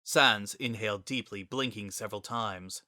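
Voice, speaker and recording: A man narrates scripted fiction in a bright, forward voice. The recording is close and dry, with the delivery of a dramatic story reading. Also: fairly low pitch, moderate pace, dry recording.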